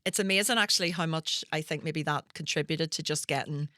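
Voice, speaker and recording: A woman podcast host and comedian from Northern Ireland, telling stories in casual chat, animated and laughing often as she acts out quotes. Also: low-pitched, a little fast, no room sound, studio quality.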